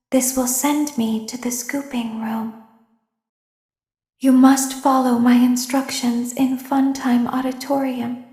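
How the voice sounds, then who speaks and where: A woman voicing a calm, manipulative animatronic robot girl from a game, speaking in slow, measured tones that often drop to hushed whispers. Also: sits high. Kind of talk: fan voice acting of game lines. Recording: roomy echo.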